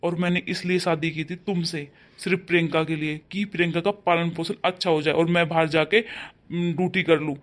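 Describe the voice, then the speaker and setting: A man's voice: a little high, steady tone, normal speed, close to an everyday voice. A man playing a stern father who scolds his wife and comforts his daughter. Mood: angry. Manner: scolding loudly, then softening to coax. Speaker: actor in a family drama. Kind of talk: amateur family drama acting.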